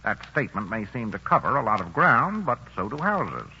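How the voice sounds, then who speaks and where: 1940s male radio announcer, bright and lively, dull lo-fi sound with music behind. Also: lowish voice, moderate pace, slight background noise. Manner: polished, jovial, emphatic. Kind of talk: scripted promo announcing.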